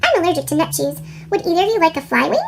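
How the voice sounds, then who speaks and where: A woman's voice: animated, rapid-fire, vocal fry, slight background noise, full character voice. A woman voicing a helpful, musing female spider in a children's storybook, with a chipmunk effect. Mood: excited. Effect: chipmunk pitch-shift voice effect.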